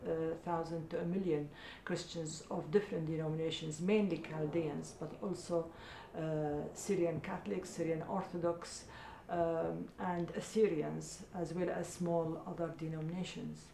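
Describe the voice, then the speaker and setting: A middle-aged woman from Iraq speaks in an earnest, halting way, her talk full of small hesitations. Her voice is low, mellow and gravelly. The room is echoey, and a faint rumble sits underneath. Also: steady tone. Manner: hesitant, many uh fillers, earnest. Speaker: charity founder. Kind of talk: interview answers.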